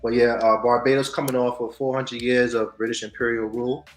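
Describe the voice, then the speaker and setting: A man co-hosting a casual news and culture show, animated, swinging between loud hype and soft asides. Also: medium pitch, brisk. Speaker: podcast co-host. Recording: echoey room with faint music.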